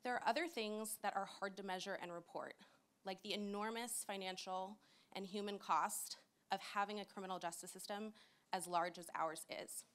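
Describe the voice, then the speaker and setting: A woman's voice: mid-range voice, fairly even intonation, normal speed, a bit bright. A woman from the United States in her forties giving a passionate conference talk with a handheld mic. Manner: earnest, passionate. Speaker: conference speaker. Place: conference room with audience.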